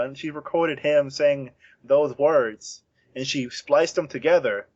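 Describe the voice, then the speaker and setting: A man's voice: a little high, no room sound, muffled recording. A man co-hosting a podcast in casual, jokey film banter, insistent and prone to repeating himself. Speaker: podcast co-host.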